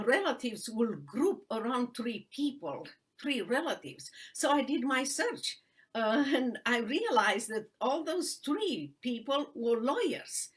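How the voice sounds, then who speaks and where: A woman from Romania with a Romanian accent, earnestly telling her life story as an interview guest, pausing with fillers. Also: fairly high pitch, a bit bright, husky. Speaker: guest, human rights attorney.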